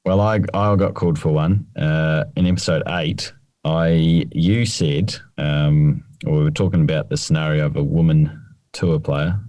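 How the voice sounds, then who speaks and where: A man's voice: very deep voice, steady tone, unhurried, a little mellow, no room sound. A man co-hosting a golf podcast, precise about the rules and dryly teasing his co-host. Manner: precise, correcting, dry teasing. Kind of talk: casual podcast chat.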